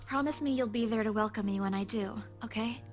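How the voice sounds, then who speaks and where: A woman's voice: on the high side, a little fast, soft background music, close to an everyday voice. An actress playing an earnest, hardworking young woman, reassuring and easily anxious, in a visual novel game. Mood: reassuring, tender. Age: young adult.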